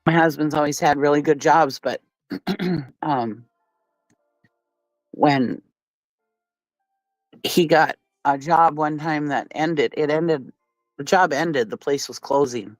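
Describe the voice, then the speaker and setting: A woman's voice: low-pitched, slow, pauses often, no echo, soft background music, poor audio. Stuffy-nosed woman hosting a blunt livestream. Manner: blunt and profane, laughs often. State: stuffy nose from a cold, sniffly. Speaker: livestream commentary host. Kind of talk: casual livestream commentary chatting with viewers.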